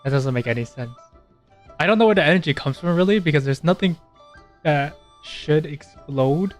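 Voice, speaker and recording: A man's voice: a little high, lively intonation, moderate pace. A young man giving casual game commentary, thinking aloud in rambling asides. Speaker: gaming streamer. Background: game music.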